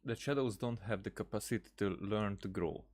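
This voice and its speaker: Halting, lowish-voiced male game streamer. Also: a little mellow. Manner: hesitant, halting, thinking aloud. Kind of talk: casual gameplay commentary.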